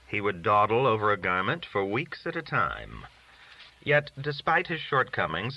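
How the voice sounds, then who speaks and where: A man reading literary short stories aloud as scripted narration. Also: fairly low pitch. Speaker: audiobook-style reader of short stories. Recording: dull, lo-fi recording.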